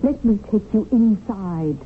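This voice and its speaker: Actress as a poised, seductive widow, tinny radio sound. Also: female voice, mid-range voice, animated, unhurried, lightly acted. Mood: seductive, coaxing. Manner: poised, coaxing, theatrical. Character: calculating, self-assured seductive widow, a society beauty. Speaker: actress in a radio mystery drama. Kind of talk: 1970s radio drama acting. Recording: tinny old radio broadcast, faint hiss.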